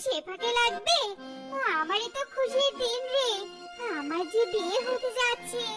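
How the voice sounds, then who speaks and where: A performer voicing a spoiled, spiteful young woman in a cartoon, shrill, bullying and whiny. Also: animated, unhurried. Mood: smug. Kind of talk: Bengali fairytale cartoon acting. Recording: loud children's music behind. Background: loud children's music.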